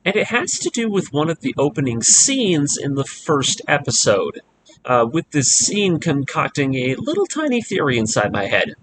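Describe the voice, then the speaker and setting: A man hosting a video essay, narrating a scripted fan theory with emphatic, deliberate stresses on key words. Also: a little high, huge pitch swings, noisy background. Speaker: host of an anime breakdown video series. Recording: music behind the voice. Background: music.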